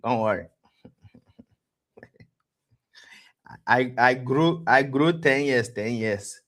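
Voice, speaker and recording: A man with a West African accent hosting a live-streamed trading lesson, pausing to ask "what?" and answering himself, often repeating words as he goes. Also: mid-range voice, lively intonation, a bit bright. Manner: quizzes listeners with rhetorical "what?" prompts; repeats words and restarts phrases. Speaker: trading educator, stream host.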